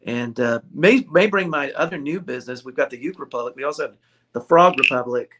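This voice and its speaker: A man's voice: medium pitch, fairly quick, background noise. A man, a ukulele shop owner being interviewed, chatting casually and joking with frequent laughter. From United States. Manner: jokey, laughs often. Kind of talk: casual chat.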